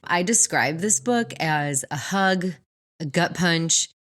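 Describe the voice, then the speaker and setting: A woman with a distinctly low voice co-hosts a book podcast. She talks in a casual, enthusiastic way, like someone recommending favourite reads to a friend. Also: expressive, takes it slowly, a bit bright. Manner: enthusiastic, informal. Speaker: podcast co-host discussing books. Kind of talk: casual book review chat.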